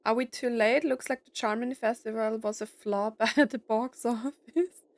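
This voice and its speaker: A woman's voice: fairly high pitch, steady tone, normal speed, no echo. A young adult woman giving casual let's play commentary, musing aloud with jokey asides. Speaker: gaming streamer.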